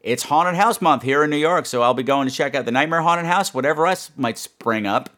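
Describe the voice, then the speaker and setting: A man's voice: on the high side, brisk, fairly bright tone. A man hosting a horror podcast, chatting to his listeners in a campy, playful, self-mocking and emphatic way. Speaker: podcast host.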